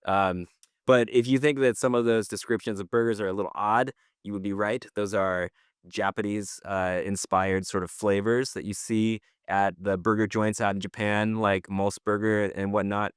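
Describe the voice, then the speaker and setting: A man's voice: fairly low pitch, flat delivery, normal speed, clean tone, dry recording, clean studio sound. A middle-aged man co-hosting a podcast, chatting casually about news in an informal, slangy way. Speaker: podcast co-host presenting industry news. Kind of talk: casual chat.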